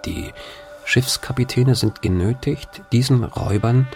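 An actor voicing an earnest old-time European traveller, reading a formal account in measured, emphatic, archaic diction for a radio play. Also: male voice, medium pitch, lightly acted. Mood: earnest, disapproving. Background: music behind the voice.

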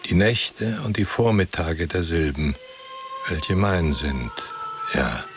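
Very deep, slow, ancient book guardian. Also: male voice, expressive, over music, heavily acted. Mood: grave, cryptic. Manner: slow, cryptic, solemn answers. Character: ancient wandering guardian of a book, cryptic and grave. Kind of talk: poetic radio drama dialogue.

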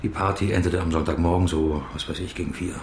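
A man's voice: low voice, steady tone, quick pace, slight background noise. An actor playing a dogged middle-aged man in a radio drama, terse and sceptical in his questioning. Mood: defensive. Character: dogged middle-aged man, a probing investigator under suspicion.

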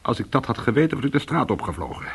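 An actor playing a weary, hard-boiled former cat burglar, telling his story in an intimate, brooding and deliberate way in a radio play. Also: medium pitch, big pitch swings, creaky, breathy edge, exaggerated voice. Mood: weary, reflective.

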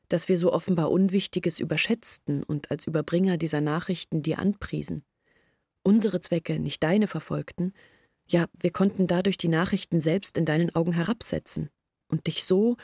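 An actress playing an anxious young village woman who earnestly pleads her family's case in a radio play, intimate and deliberate. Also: on the low side, creaky, warm tone, breathy edge, no echo, studio quality. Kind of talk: literary radio play monologue.

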